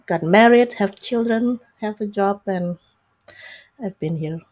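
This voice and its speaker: A woman in her forties from Indonesia, speaking English with an Indonesian accent. She talks slowly at a middling pitch, explaining things in a casual, conversational way as a guest. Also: a little mellow. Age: 40s. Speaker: interview guest, software developer.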